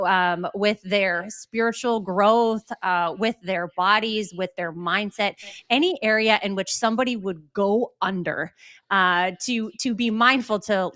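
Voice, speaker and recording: A woman's voice: medium pitch, fairly bright tone, no echo, clean studio sound. A woman, a wellness coach and speaker from Michigan, telling her story in an earnest, reflective way as an interview guest.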